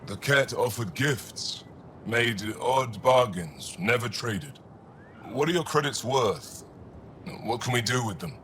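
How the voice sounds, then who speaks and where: An actor playing a shrewd alien cargo trader in a video game, with blunt, clipped phrasing. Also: male voice, medium pitch, animated, takes it slowly, fairly bright tone, a little hiss or hum. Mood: curious, probing. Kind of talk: video game dialogue acting.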